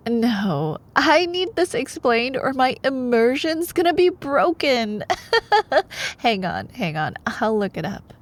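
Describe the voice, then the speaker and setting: A woman in an ASMR bedtime storytelling style, expressive and playful, like a girlfriend reading aloud. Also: medium pitch.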